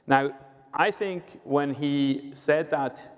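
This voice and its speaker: A man's voice: on the high side, drawn-out words. A man from Switzerland with a Swiss accent, a sales executive explaining things on a conference panel, with frequent "uh" and "right?". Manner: explanatory, uses filler "uh" and "right?". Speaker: sales executive, guest speaker. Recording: long reverb of a hall.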